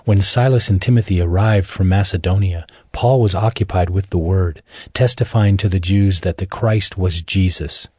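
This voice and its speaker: Low-voiced male Bible narrator. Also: moderate pace, a little mellow, husky, no room sound, studio quality. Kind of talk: scripted audio Bible narration.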